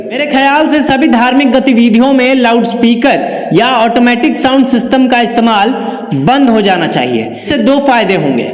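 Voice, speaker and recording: A man presenting a scripted news explainer, narrating and commenting to the viewer. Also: extremely high pitch. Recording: echoey, reverberant room.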